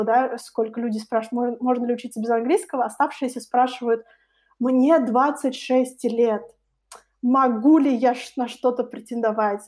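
A young woman with a fairly high, very soft-toned and mellow voice speaks as an interview guest. She explains things in an informal, conversational way, often acting out what other people might say. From Russia. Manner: explanatory, informal, illustrates with imagined quotes. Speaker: interview guest, co-founder of a study-abroad project.

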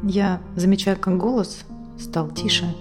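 A woman's voice: mid-range voice, steady tone, a little slow, natural voice. A woman in her thirties playing an attentive psychotherapist in an audio drama, speaking naturally and with care. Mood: attentive, probing. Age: 30s. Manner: attentive, gently probing. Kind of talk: therapy dialogue in an audio drama. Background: music.

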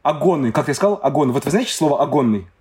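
A man's voice: on the high side, lively intonation, rapid-fire, a little mellow. A man from Moscow talking as a podcast guest, telling stories in casual chat with many hesitations and filler sounds.

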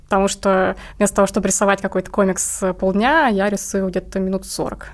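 A woman's voice: mid-range voice, level tone, brisk. A woman speaking casually as an interview guest, talking about her own work as an illustrator.

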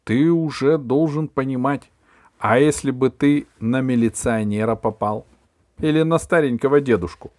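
A man voicing a fussy, excitable middle-aged woman neighbour who talks in a high, loud, drawn-out way. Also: no room sound, natural voice. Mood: flustered.

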